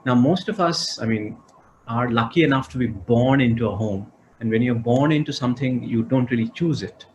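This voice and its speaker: A man speaking as a panelist on a video call, courteous, measured and articulate. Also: mid-range voice, a little mellow, dull audio, slight background noise.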